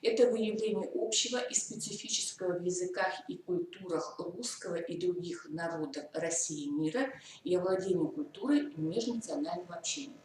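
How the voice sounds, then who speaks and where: A woman's voice: fairly low pitch, a little fast, a little mellow. Woman lecturing in an echoey hall. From Komi Republic, Russia. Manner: methodical, lists points step by step with fillers. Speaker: lecturer on school curriculum. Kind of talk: professional training lecture. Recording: echoey hall from far off, faint noise.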